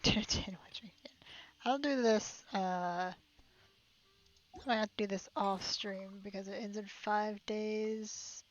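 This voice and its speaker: A woman's voice: mid-range voice, steady tone, takes it slowly, muffled recording. A woman chatting casually and a little giggly as she livestreams a video game. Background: game music.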